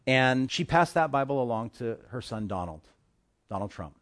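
A man preaching a sermon as pastor of his church, impassioned, swinging between hushed asides and forceful declarations. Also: mid-range voice, animated, normal speed. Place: church in Hawaii.

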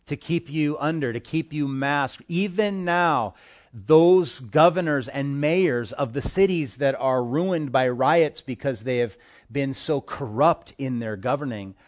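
A man preaching a sermon as pastor of his church, impassioned, swinging between hushed asides and forceful declarations. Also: medium pitch. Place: church in Hawaii.

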